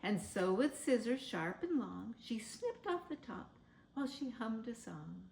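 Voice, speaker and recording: A woman reading a children's story aloud in a theatrical, measured, expressive way. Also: medium pitch, vocal fry, a little hiss or hum. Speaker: storybook reader. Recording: roomy, echoing sound.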